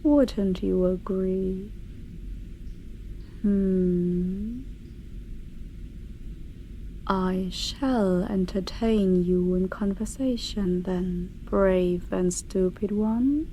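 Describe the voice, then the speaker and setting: A woman voicing an ancient, haughty dark dragon in a grandiose, archaic ASMR fantasy monologue, deliberate and sometimes dropping into a breathy whisper. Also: medium pitch, steady tone, slight background noise, low-quality recording. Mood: haughty, disdainful. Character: ancient female dark dragon, eldritch, haughty, menacing, contemptuous.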